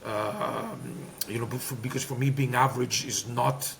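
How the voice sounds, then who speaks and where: Gravelly Greek-accented man, emphatic. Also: medium pitch, steady tone, a little slow, a bit bright, a little hiss or hum. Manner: talkative, emphatic, many fillers and restarts. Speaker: guest, former player and coach. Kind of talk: candid interview talk.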